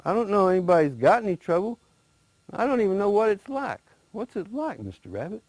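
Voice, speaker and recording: A gullible, eager alligator from a spoken folk tale, his voice leaping high and full of wonder, as a storyteller puts him on. The delivery is dry and close. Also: a little fast, no room sound, full character voice. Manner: excited, high-pitched and wondering.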